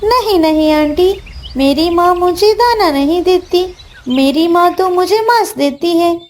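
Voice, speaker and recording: Little cartoon chick woman playing a boy: innocent, pleading, very high, slowly spoken. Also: darkish tone, a little hiss or hum. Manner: childlike, pleading. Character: small innocent young male bird child. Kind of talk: Hindi children's cartoon dialogue.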